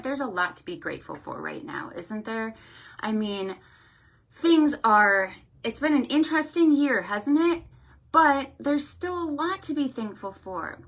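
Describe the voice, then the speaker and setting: A woman hosting a storytime for young children, with an animated, sing-song, encouraging way of talking, full of check-in questions and affectionate thanks. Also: on the high side. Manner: affectionate, effusive greetings and thanks. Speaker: children's library storytime host.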